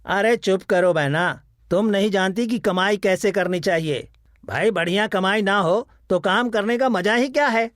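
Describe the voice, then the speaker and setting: A scheming village food seller for an animated moral tale, acted by a man. The voice is high and very dry. He is greedy and folksy, with an exaggerated storybook delivery. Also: moderate pace, dry recording. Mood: frustrated.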